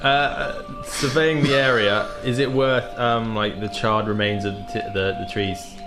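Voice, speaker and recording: A man's voice: mid-range voice, steady tone, unhurried, music in the background. A man playing a tabletop game, chatting and bantering casually as he plays.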